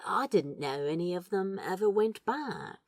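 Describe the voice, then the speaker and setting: Woman voicing an awkward, smitten man, slow and hesitant. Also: mid-range voice, expressive, a little mellow, dry recording, clean studio sound. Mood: curious. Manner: hesitant, curious, brief. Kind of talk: fan fiction audio drama dialogue.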